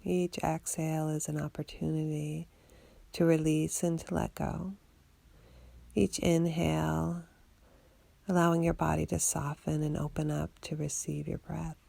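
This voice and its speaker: Slow, low-voiced woman guiding meditation, heavy fry. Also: level tone, no echo. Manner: soothing, unhurried, gently instructing. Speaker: meditation guide. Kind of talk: guided body scan meditation for sleep.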